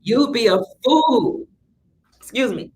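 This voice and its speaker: A woman from Cleveland hosting a livestream in a chatty, excitable way, reading viewer comments and greeting them as sister. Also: fairly high pitch, takes it slowly. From Cleveland, Ohio. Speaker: livestream host.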